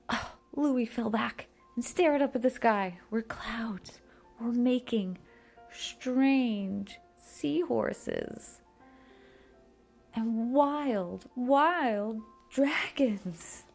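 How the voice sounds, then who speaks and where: A woman reads a children's story at a slow pace. Her mid-pitched voice rises and falls widely, stretching key words, with soft music behind her. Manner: expressive, with drawn-out emphasized words. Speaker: storybook reader. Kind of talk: scripted children's story narration.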